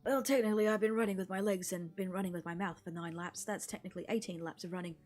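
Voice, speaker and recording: A woman's voice: lowish voice, fairly even intonation, fast talker, darkish tone, soft background music, natural voice. A woman voicing a chatty, stubborn teenage boy runner, whining and out of breath, for a visual novel. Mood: pleading protest. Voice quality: panting, gasping. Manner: whining, rambling, protesting.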